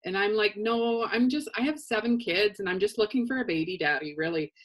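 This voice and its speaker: A middle-aged woman with a low voice, co-hosting a casual chat and telling stories with dry humor and frequent laughter. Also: a little fast, low-quality recording. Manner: chatty storyteller, dry humor, laughs often. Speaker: co-host. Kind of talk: casual chat on a live show.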